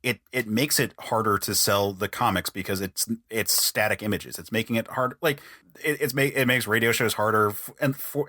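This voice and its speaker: A man with a bright, pressing voice hosts a casual analytical podcast discussion. He pitches fairly low and talks in halting bursts full of filler "like", recorded very dry. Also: no echo. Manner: halting, peppers speech with "like".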